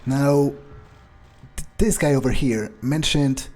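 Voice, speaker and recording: A man doing an improvised in-character gameplay monologue, thinking aloud in a halting, musing way. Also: medium pitch, a little mellow. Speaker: gamer playing in character.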